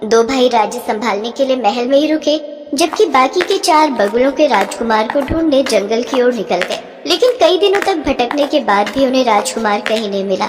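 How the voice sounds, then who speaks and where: A woman's voice: fairly high pitch, animated, moderate pace. A woman reading a scripted children's moral story as its narrator. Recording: long reverb, noisy track.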